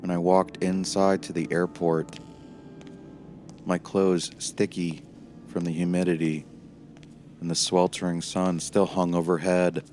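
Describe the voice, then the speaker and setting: A man playing a weary, haunted time-traveling prisoner who narrates his memories in a measured, somber, reflective way, as in an audio play. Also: low voice, level tone, darkish tone, soft background music. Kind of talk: scripted first-person dramatic narration.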